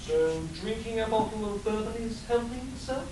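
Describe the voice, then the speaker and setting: Noisy echoey recording, guy as very polite deadpan barman, highish voice, radio comedy. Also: moderate pace, close to an everyday voice. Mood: politely dry. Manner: courteous, dry. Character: polite, deadpan male servant type, gate guard or barman. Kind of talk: amateur live comedy radio play. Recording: roomy, distant, noisy live convention recording.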